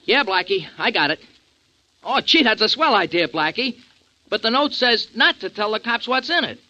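An earnest, slangy American sidekick from a 1940s radio crime drama. His voice sits fairly high and swings widely up and down. The tone is bright and forceful, with streetwise, rough grammar. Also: very animated, normal speed, soft background music, heavily acted, low-quality recording. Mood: dutiful. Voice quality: streetwise, ungrammatical slangy delivery. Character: slangy, earnest small-time sidekick in a jam. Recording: vintage 1940s radio recording.

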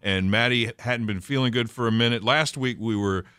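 A man's voice: medium pitch, moderate pace, bright, forceful tone, smooth, no room sound. A man hosting a morning radio show, chatting casually and thoughtfully with his co-hosts.

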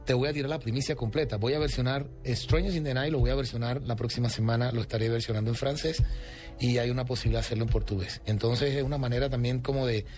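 A man's voice: mid-range voice, fairly even intonation, fast, darkish tone, faint music behind. A man, a Colombian singer, talks expansively and with enthusiasm about his album in a radio interview. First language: Spanish. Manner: expansive, enthusiastic, lists songs. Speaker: singer guest.

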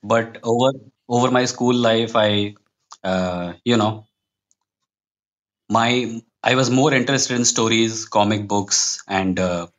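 A man's voice: fairly low pitch, slow pace. A man with an Indian accent speaking as a podcast guest in casual, opinionated conversation, with a wry, emphatic manner that tends to digress. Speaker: podcast guest, comic book writer.

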